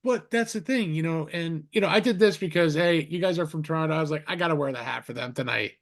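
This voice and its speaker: Brief: male podcast host, a little high-pitched, chatty and rambling, on a video call. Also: fast. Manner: rambling, restarts sentences, heaps on compliments. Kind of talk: casual interview chat.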